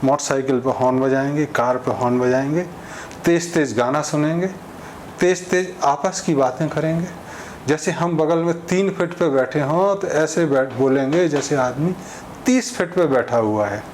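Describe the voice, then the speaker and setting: A man's voice: a little high, moderate pace, darkish tone, slight background noise. A man delivering an informal opinion monologue in a conversational way, explaining with everyday examples and imagined exchanges. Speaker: speaker giving social commentary.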